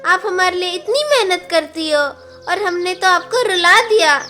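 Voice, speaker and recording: A woman's voice: extremely high pitch, animated, a little slow, bright, forceful tone, soft background music, heavily acted. A woman voicing a needy but loving young bird child in a children's cartoon, earnest and pleading. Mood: tearful, consoling. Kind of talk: Hindi children's cartoon voice acting.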